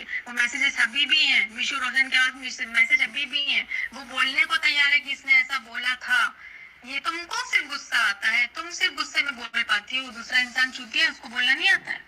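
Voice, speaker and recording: A woman's voice: sits high, sharp tone, poor audio. A woman in an agitated phone conversation, speaking indignantly and emphatically and repeating herself.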